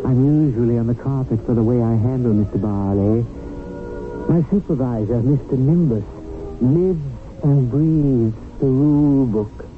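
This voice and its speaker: An actor in a 1970s radio drama playing a harried, loyal guardian angel with an apologetic, folksy manner. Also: male voice, mid-range voice, measured pace, lightly acted. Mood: wry, confiding. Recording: tinny old radio, music behind.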